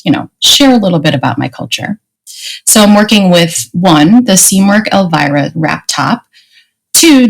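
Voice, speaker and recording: A young woman from the Chicago area co-hosting a podcast, talking in a chatty, relaxed way with light fillers. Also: on the low side, rough recording. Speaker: podcast co-host. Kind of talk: casual podcast chat.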